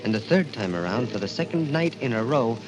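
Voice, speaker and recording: A man's voice: medium pitch, very animated, brisk, close to an everyday voice, rough recording. An actor playing an anguished, guilt-ridden young man, narrating and speaking with dramatic intensity in the style of a 1940s radio mystery. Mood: haunted, brooding. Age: young adult. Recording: old radio recording with music behind.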